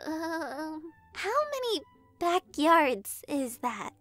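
An eager little cartoon kitten with a very high, lively voice. She is childlike, earnest and naive, excitable and unhurried in her delivery. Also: no room sound, faint music behind, heavily acted. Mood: hesitant, sheepish. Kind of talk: animated comic dub acting.

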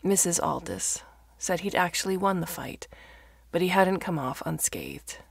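Female narrator for short fiction: gravelly, dry close recording, measured storytelling from a script. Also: lowish voice, very animated, dry recording.

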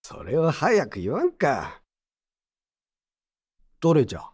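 An actor playing a gruff but kindly old pottery mender with brusque old-man speech, in a Japanese audio drama. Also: fairly high pitch, huge pitch swings, takes it slowly, darkish tone, exaggerated voice. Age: older.

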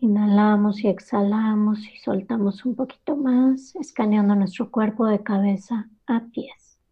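A woman leading a guided meditation, calmly giving instructions in short, unhurried phrases. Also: medium pitch, slightly rough, highs cut off.

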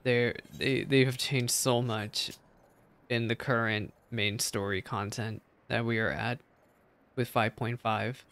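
A man's voice: mid-range voice, steady tone, slow, fairly bright tone, clean tone. A young adult man giving casual livestream commentary on a video game. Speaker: game streamer. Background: game music.

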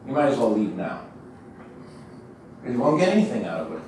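A man's voice: medium pitch, big pitch swings, brisk, mellow. An older man giving a conversational, emphatic keynote lecture at a university, pacing in a large lecture hall. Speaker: guest lecturer. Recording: echoey hall, distant mic, faint noise.

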